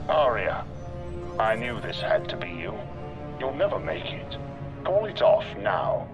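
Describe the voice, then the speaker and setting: Arrogant game villain general, measured n condescending, swoopy pitch, music behind him. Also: male voice, very animated, muffled recording. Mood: cold warning. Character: arrogant, calculating male military general, calm villain. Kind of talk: dramatic video game acting.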